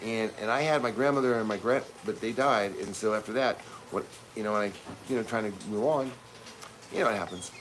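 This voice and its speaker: A man's voice: on the low side, brisk, background noise. Rambling man in his fifties. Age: 50s. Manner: rambling, with many restarts and asides. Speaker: livestream co-host. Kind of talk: casual livestream chat. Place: home kitchen.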